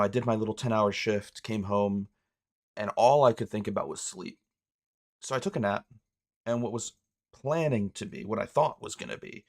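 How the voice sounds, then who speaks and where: Raspy-voiced man streamer, a bit sick. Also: fairly low pitch. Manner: rambling, self-mocking, restarts phrases. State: hoarse from illness. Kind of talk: casual stream chat.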